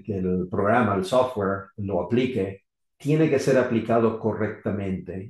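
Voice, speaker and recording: A middle-aged man from Argentina, now living in the US, giving an unscripted technical talk on electrical safety in halting, rusty Spanish full of restarts and fillers. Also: on the low side, a little mellow. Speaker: electrical safety engineer presenting. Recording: echoey room.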